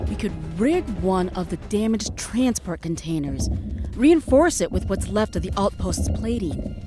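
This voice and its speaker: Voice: medium pitch, sing-song, unhurried. An actor playing a practical, inventive military technician, cautiously hopeful, in a sci-fi radio drama. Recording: music behind the voice.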